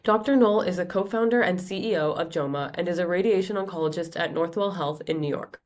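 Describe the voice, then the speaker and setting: A woman's voice: lowish voice, fairly quick, a little mellow. Young woman reading a guest bio. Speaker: medical student host. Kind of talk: read introduction.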